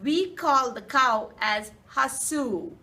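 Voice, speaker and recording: A woman, a Kannada speaker, introducing herself slowly and deliberately. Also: high-pitched, expressive, pushed and bright. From India. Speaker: video maker. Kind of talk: short self-introduction. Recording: voice a bit far from the mic.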